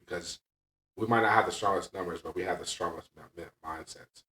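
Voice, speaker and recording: A man's voice: low voice, a little fast, sharp tone. A man talking candidly and casually as a podcast host and coach, emphatic and blunt, often checking in with "right?". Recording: echoey room, distant from mic.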